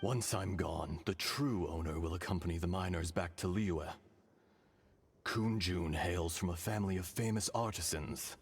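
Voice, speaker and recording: A man voicing an ancient, weary dragon in human form for a video game, grave, brooding and breathy. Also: low-pitched, moderate pace, heavily acted. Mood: solemn, resigned. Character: ancient dragon in a man's body, solemn and weary. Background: music behind the voice.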